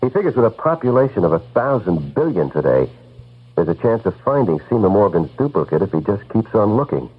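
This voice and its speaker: An actor playing a tough, loyal investigator in an old-time radio drama, blunt and slangy. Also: male voice, on the low side, sing-song, brisk, breathy edge. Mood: earnest. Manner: blunt, slangy, world-weary. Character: tough, loyal male hard-boiled investigator. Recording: muffled old radio recording, faint music.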